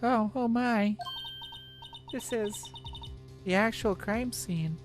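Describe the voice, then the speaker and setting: A woman's voice: fairly high pitch, slow, faint music behind. A woman voicing an earnest, inquisitive young detective's assistant in amateur video game dubbing. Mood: earnest concern. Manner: earnest, dramatic line reading. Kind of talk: amateur voice acting of game dialogue.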